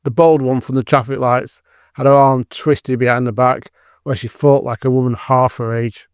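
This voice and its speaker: A man's voice: mid-range voice, little pitch movement, normal speed, smooth, dry recording, studio quality. A man narrating a scripted audio drama as storyteller.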